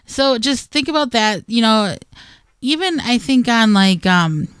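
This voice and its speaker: Clear-voiced American woman chatting on radio. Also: fairly high pitch, lively intonation, a little slow, dry recording, studio quality. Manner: conversational, plain-spoken, talks in hypothetical asides. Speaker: radio show co-host. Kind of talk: casual gardening advice chat.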